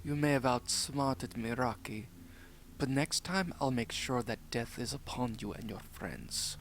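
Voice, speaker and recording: A man voicing a menacing male villain in a horror story, speaking in a hushed, ominous way. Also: mid-range voice, unhurried, a little hiss or hum.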